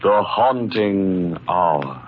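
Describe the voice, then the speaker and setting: Slow, ominous radio mystery host. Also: male voice, sits low, animated, very noisy, exaggerated voice. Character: ominous, solemn male host of mystery tales. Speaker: host and narrator. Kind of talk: old-time radio horror introduction. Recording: muffled old radio recording, loud organ. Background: organ music.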